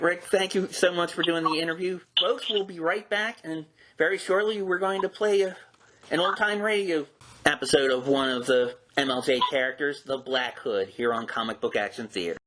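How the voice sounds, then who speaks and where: Bright-voiced male podcast host. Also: fairly high pitch, moderate pace. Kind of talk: interviewing, casual chat.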